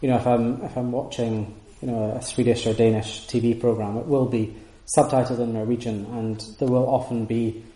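A man's voice: mid-range voice, level tone, moderate pace, few pauses, mellow, roomy sound, rough recording. A man giving an informal lecture and answering audience questions, thoughtful and full of restarts. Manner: thoughtful, many restarts and hedging fillers. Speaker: lecturer on Sanskrit texts. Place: room with audience.

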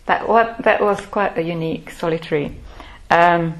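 Older woman telling her life story slow and thoughtful, lots of pauses. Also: lowish voice, poor audio. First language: Finnish. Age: 60s. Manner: measured, thoughtful, pausing often. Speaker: Buddhist order member. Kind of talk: reflective personal life-story talk.

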